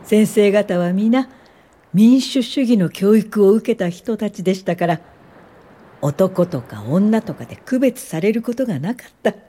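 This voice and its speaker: An actress playing a gentle older woman professor of social work in a radio drama, speaking softly, politely and without hurry in a warm, encouraging way. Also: medium pitch, lively intonation. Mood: nostalgic, content. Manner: soft, polite, unhurried, old-fashioned feminine Japanese. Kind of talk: radio drama acting and reminiscing narration.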